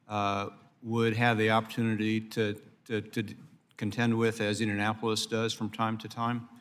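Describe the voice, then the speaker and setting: An American man questioning a witness as a congressman, speaking in a hesitant, halting way. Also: medium pitch, fairly bright tone. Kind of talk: hearing questioning. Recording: reverberant hearing room.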